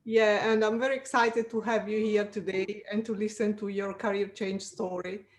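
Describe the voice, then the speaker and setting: A woman's voice: medium pitch, little pitch movement, moderate pace. A woman hosting a career podcast, reading a prepared introduction and then asking her guest questions. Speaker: podcast host.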